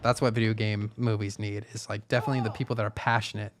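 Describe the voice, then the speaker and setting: A man's voice: fairly low pitch, little pitch movement, quick pace, noisy background. A man co-hosting a live podcast, chatting casually and informally about films with eager interjections and loose asides. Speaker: podcast co-host.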